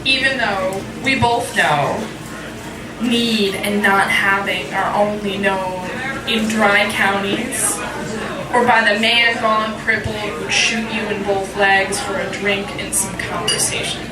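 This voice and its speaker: A woman poet giving a live reading, deliberate in her phrasing and frank and informal in her delivery. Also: medium pitch, steady tone. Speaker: poet, featured reader. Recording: echoey, distant mic, loud crowd noise.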